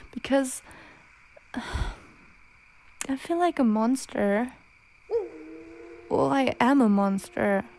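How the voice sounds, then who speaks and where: A woman playing an anxious, gentle young werewolf in an intimate roleplay, speaking in a halting, pleading manner. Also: fairly high pitch, creaky. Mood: ashamed. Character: anxious, self-loathing young female werewolf, protective and gentle. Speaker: amateur roleplay voice actor. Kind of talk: intimate ASMR girlfriend roleplay.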